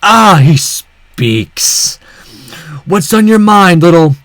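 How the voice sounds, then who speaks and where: A man voicing a callous older male yakuza villain in a visual novel, sneering and taunting with slow, drawn-out mockery. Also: high voice, lively intonation, fairly bright tone, no echo, exaggerated voice. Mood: mocking.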